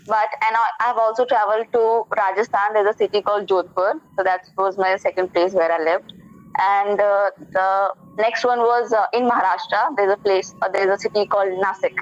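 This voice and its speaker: A woman's voice: mid-range voice, steady tone, a little fast, no room sound. Young Indian woman on a dull, lo-fi call. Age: young adult. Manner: polite, eager, hesitant, frequent fillers. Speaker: student. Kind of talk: conversation practice. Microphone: video call.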